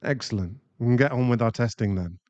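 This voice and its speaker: A man acts a composed doctor with quiet authority in an audio drama. His voice is mellow and mid-pitched, on a slightly dull recording. He is formal, exact and clinical. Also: quick pace, lightly acted. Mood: cool, businesslike. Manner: formal, precise.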